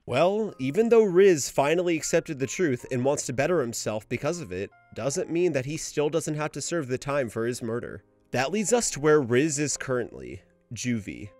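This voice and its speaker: A man's voice: medium pitch, big pitch swings, moderate pace, a little mellow, soft background music. A young adult man narrating a scripted video essay in a casual style. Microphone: home microphone, voice-over.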